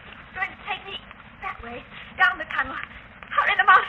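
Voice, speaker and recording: A woman's voice: very high-pitched, moderate pace. A woman playing a brave, selfless young heroine who pleads urgently in a 1930s radio drama. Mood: urgent pleading. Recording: muffled, hissy 1930s radio recording.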